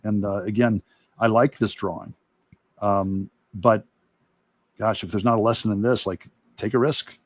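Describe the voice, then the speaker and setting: Male illustrator-instructor, lowish pitch, reflective and halting, casual demo delivery, very dry recording. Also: steady tone, dry recording. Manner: reflective, halting, long rambling answers. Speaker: illustrator and course instructor demonstrating. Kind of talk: casual demo talk while drawing.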